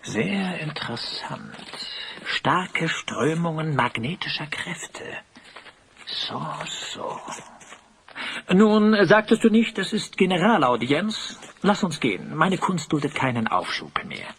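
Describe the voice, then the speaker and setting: A man playing a vain, scheming master sculptor in a fantasy audio drama, speaking with flattering, theatrical grandeur. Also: on the high side, takes it slowly, background noise, full character voice. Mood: feigned interest, impatient.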